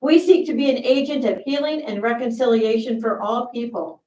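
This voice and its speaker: A woman pastor speaks in a slightly raised voice, relaxed and chatty with small fillers. She is heard from a distance in a roomy church sanctuary, her voice echoing off the walls. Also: a little high, normal speed. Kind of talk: church announcements and worship opening.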